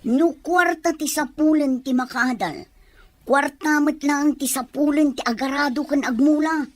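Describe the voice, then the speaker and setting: A woman playing a gruff, scornful rural aunt who scolds and jabs sarcastically in an audio drama. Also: high voice, unhurried, dry recording. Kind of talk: Ilocano audio drama dialogue.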